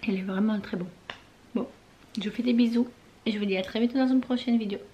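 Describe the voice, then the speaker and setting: A young woman talks casually in her bedroom, rambling through loose, trailing sentences full of fillers. She often drifts into a soft near-whisper. Faint room noise sits underneath. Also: fairly high pitch, fairly even intonation, fast talker. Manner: chatty and rambling, many fillers and trailing phrases. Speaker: beauty vlogger. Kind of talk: casual chat to camera.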